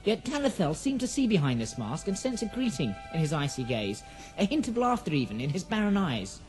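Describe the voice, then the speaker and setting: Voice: mid-range voice, very animated, fairly quick, a little mellow, soft background music. A narrator reading a scripted fantasy audio drama in a poetic, dramatic storybook manner.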